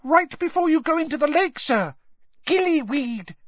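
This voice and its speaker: A narrator voicing a small male house-elf servant, squeaky, earnest and deferential, in an audiobook style. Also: lively intonation, normal speed, no room sound, lo-fi sound. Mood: urgent instructing. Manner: speaks of himself in third person, deferential, broken grammar. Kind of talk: audiobook character voice.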